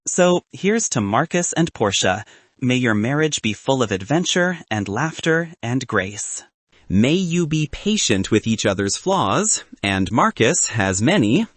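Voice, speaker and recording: A man's voice: mid-range voice, very animated, a little slow, no echo. A man voicing a cynical young best man who is awkwardly sincere and argumentative in a romantic comedy audiobook. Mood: wry, warm. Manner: wry, argumentative, earnest.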